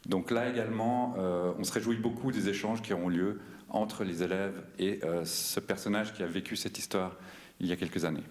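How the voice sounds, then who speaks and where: A man, the festival president, giving a welcome speech in a cinema hall with many pauses and restarts. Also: fairly low pitch, darkish tone. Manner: hesitant, many fillers and restarts. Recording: roomy hall.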